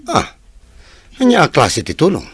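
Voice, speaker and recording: A man's voice: on the high side, huge pitch swings, unhurried, breathy edge, no room sound, full character voice. A man acting a teasing, skeptical village buddy with an Ilocano accent in a radio comedy drama.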